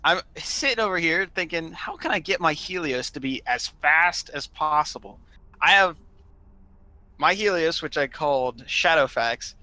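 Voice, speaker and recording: A man casually telling gaming stories in an animated, emphatic way, swearing freely. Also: fairly high pitch, dry recording, rough recording. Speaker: online gaming group member telling stories.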